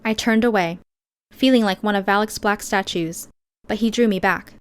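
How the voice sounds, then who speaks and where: A woman narrating an audiobook in the first person, with measured, expressive storytelling. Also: mid-range voice, clean tone.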